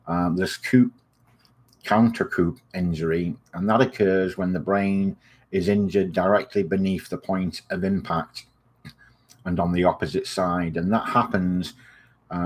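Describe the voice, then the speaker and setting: Low-voiced English host with a partly American accent, chatty and digressive, plenty of filler words. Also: male voice, a little mellow, husky. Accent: English, softened by years in the US. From England. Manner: rambling and digressive, many ums and uhs; friendly sign-off. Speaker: video series host. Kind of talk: informal spoken health explainer.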